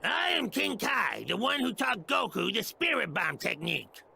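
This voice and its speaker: An earnest elderly deity teaching in an anime game. The voice is very high, heavily creaky and strained. He instructs emphatically with lively swings in pitch. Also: normal speed, slight background noise, exaggerated voice. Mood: urgent instruction. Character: quirky elderly otherworldly mentor deity, earnest. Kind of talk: anime video game voice acting.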